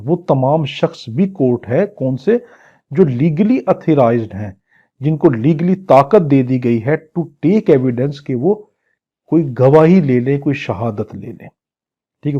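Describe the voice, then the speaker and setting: A man's voice: a little high, warm tone, muffled recording. A Pakistani man giving an exam-prep law lecture in a didactic way, repeating phrases and posing questions that he then answers. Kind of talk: exam-prep lecture, reading English legal text and explaining it in Urdu.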